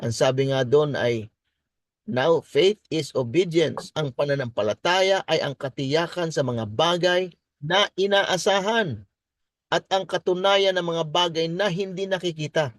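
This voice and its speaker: A Filipino man with a fairly high voice speaks as a preacher-presenter. He is a native Tagalog speaker who moves between English and Tagalog and restates his points with deliberate emphasis. The sound is very dry, with no room echo. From Philippines. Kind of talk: faith-based business presentation, preaching.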